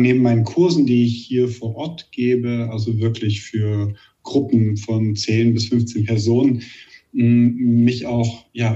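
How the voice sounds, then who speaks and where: A man with a Saxon German accent and a slight lisp, a meditation teacher answering interview questions calmly and thoughtfully. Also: medium pitch, far from the mic, dull audio. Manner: calm, measured, searching for words.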